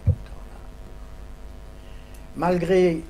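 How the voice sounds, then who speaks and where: An elderly man from Poland, a native Yiddish speaker, giving spoken testimony to a school audience in an emphatic, deliberate way. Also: sits high, level tone. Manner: emphatic, deliberate storytelling with dramatic stresses. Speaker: Holocaust survivor giving testimony. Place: indoors, classroom. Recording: noisy room recording with hum.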